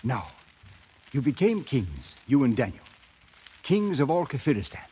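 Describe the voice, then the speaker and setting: An actor playing a concerned, articulate gentleman who gently presses with questions, in a 1950s radio drama. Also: medium pitch, big pitch swings, a little slow, slightly rough. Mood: concerned, probing. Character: concerned, probing gentleman listening to a broken traveller's tale. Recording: dull, faintly noisy 1950s radio recording.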